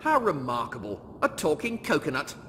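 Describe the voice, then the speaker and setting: A smug, pompous officer, played by a male reader in a sci-fi comedy audiobook. He sneers with breezy sarcasm and animated intonation, over faint background noise. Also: on the high side, moderate pace, in a large hall, voice pushed up. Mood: sneering, breezy.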